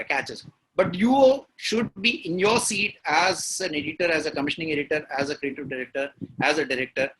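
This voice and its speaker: A man's voice: sits high, sharp tone, husky, poor audio. A man with an Indian accent, a guest in an interview, talking emphatically and laying out his points one by one, often repeating key words. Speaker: guest writer. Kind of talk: interview talk on storytelling.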